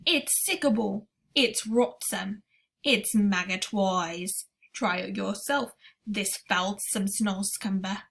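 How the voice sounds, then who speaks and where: A gentle old giant in a storybook, as a woman reads him aloud. He speaks slowly and loudly, stretching out each word of his muddled, mangled grammar. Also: creaky, full character voice. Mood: disgusted. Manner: slow, booming, mangled words.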